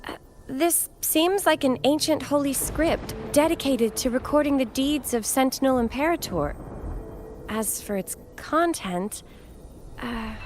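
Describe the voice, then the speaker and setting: A woman voicing a gentle, earnest young acolyte in a video game, speaking sweetly and carefully with some hesitation. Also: high voice, slight background noise.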